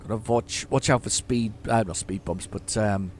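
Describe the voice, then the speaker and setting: Hoarse man rambling over gameplay. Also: lowish voice, moderate pace, slight background noise. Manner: rambling, stammering repeats, chatting to himself. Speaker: gaming YouTuber. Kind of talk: casual gameplay commentary.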